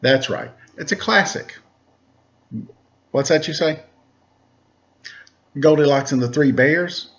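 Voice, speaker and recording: A man's voice: fairly high pitch, takes it slowly, pauses often, fairly bright tone, lo-fi sound. A man reading a children's picture book aloud as a storyteller, playful and mock-innocent, with casual asides.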